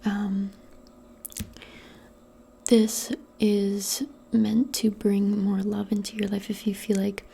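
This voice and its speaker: A woman doing a soft-spoken ASMR roleplay, mostly in a breathy whisper, with a gentle, reassuring and hesitant way of talking. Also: mid-range voice, monotone, slow pace, pauses often.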